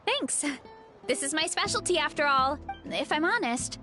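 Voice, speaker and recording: A cheerful young game heroine with a big appetite. The voice is high and lilting, with gentle, bashful earnestness and faint music underneath. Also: female voice, expressive, normal speed. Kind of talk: video game voice acting.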